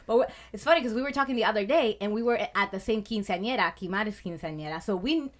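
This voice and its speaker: A thirty-year-old woman from Puerto Rico, a guest in a casual interview, loud and talkative. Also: medium pitch, quick pace. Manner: loud, talkative, swears and laughs often.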